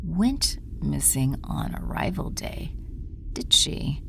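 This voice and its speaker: A woman's voice: low voice, sing-song, pushed and bright, whispered, exaggerated voice. A woman playing a cold, scheming alien villainess in an audio drama, speaking imperiously and sinking into menacing murmurs. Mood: brooding, menacing. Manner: deliberate, imperious, drops into breathy menacing murmurs. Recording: faint background noise.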